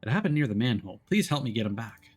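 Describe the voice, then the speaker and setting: A man's voice: mid-range voice, big pitch swings, quick pace, a little mellow, breathy edge. A man voicing an anxious young boy who pleads for help in a story game. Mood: pleading.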